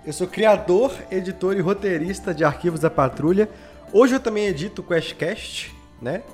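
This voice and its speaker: A Brazilian man with a fairly high voice talks as a guest on a podcast. His delivery is casual and rambling, dotted with little conversational tags. There is faint music behind him. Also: normal speed, a little mellow. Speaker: podcast guest, audio drama creator. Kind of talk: casual interview chat.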